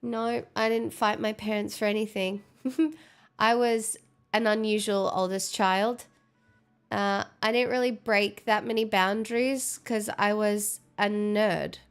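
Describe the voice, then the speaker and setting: A woman's voice: mid-range voice, steady tone, a little slow, clear, resonant tone. A young adult woman streaming, chatting casually with viewers, stuffed up with a cold and giggly, self-mocking.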